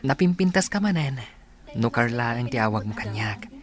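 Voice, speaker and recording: A man's voice: mid-range voice, lively intonation, moderate pace, lightly acted. A man acting a generous, playful suitor who flirts and teases in a radio comedy drama. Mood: teasing.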